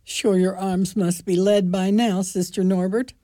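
A woman's voice: medium pitch, flat delivery, unhurried, warm tone, dry recording, natural voice. A woman playing a tart, sarcastic convent sister in a community radio play. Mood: dry. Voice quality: dry and sarcastic. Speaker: nun. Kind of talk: community radio drama acting.

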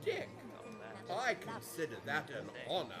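A man playing a jolly, warm-hearted older gentleman host in a radio drama, hearty and theatrical. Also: fairly high pitch, wildly swooping pitch, unhurried, over loud music. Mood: jubilant, festive. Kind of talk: stage-style radio drama acting.

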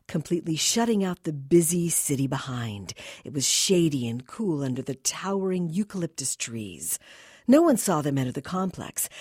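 A woman reading a short story aloud, expressively, with dramatic and deliberate phrasing. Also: low-pitched, darkish tone, husky, dry recording, clean studio sound. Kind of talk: expressive scripted story narration.

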